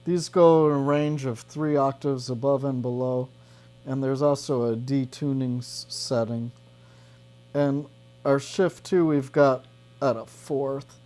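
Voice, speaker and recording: A man's voice: medium pitch, steady tone, slow pace, a little mellow. A man explaining a guitar effects pedal step by step in an informal demo, often checking in with the listener. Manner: informal, checks in with "right" and "okay".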